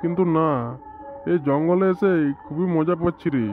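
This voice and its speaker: A man's voice: on the high side, fairly even intonation, moderate pace, close to an everyday voice. A man playing a bossy village elder brother in a comedy cartoon, speaking colloquially and giving short repeated orders. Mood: jolly. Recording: thin phone-like sound with faint noise.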